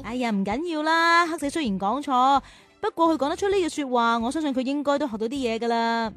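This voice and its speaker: A woman's voice: sits high, fairly quick, a bit bright. A woman with a Hong Kong Cantonese accent playing a kind, encouraging big sister in a children's radio drama. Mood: reassuring. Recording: music under the voice.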